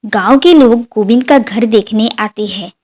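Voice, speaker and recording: A woman's voice: fairly high pitch, measured pace, darkish tone, a little hiss or hum. A woman narrator reading a scripted moral story for a cartoon.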